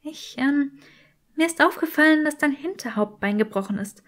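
Woman doing a young witch in an audiobook, earnest, flustered, higher voice, kinda hesitant. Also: expressive, normal speed, a bit bright. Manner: hesitant, breathy urgency. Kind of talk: audiobook character acting.

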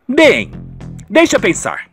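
A man's voice: high voice, wildly swooping pitch, takes it slowly, fairly bright tone, faint music behind, pitched above the performer's own voice, exaggerated voice. A man voicing a scheming, exasperated housekeeper and mistress in a dramatic radio soap. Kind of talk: radio soap opera acting.

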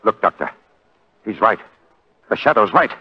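A man's voice: fairly low pitch, very animated, moderate pace, husky, heavily acted. An actor playing a nervous, protesting man under suspicion, in 1940s radio drama style. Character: nervous, protesting male suspect. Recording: tinny vintage 1940 radio recording.

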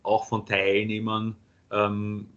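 A paramedic lecturer with an Austrian accent presenting a webinar, pausing often with fillers. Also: male voice, on the low side, monotone, a bit bright, smooth. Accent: Austrian German. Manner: halting, many filler sounds. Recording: dull lo-fi webinar audio.

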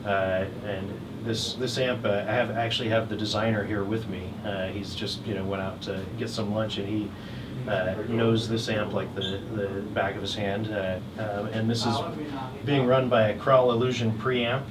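A middle-aged man casually presenting products as a dealer, with a halting, hesitant delivery. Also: on the low side, little pitch movement, a loud crowd. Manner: halting, hesitant delivery with frequent long pauses. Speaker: hi-fi dealer exhibiting at a trade show. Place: hotel room at an audio show, indoors. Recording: distant mic, clearly noisy room.

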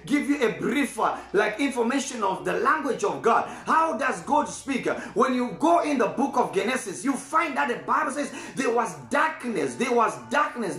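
A man preaching a fervent sermon, repeating his points and shouting key declarations. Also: very high voice. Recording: echoey room.

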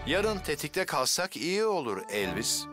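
A man voicing a calm, kindly firefighter hero in a Turkish cartoon dub, reassuring and gently advising. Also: a little high, measured pace, music in the background.

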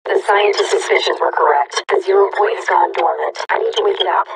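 A woman's voice: medium pitch, brisk, far from the mic, a crowd in the background, close to an everyday voice, rough recording. A woman playing a grave, resolute armored heroine sending covert messages in a video game. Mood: grave, determined. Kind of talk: video game character dialogue.

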